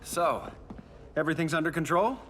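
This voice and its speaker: An actor playing a glib, irreverent archer hero in a video game cinematic. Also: male voice, a little high, normal speed, background noise, natural voice. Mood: breezy, joking. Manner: glib, joking. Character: cocky wisecracking male archer hero, breezy and irreverent. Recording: loud music under the voice.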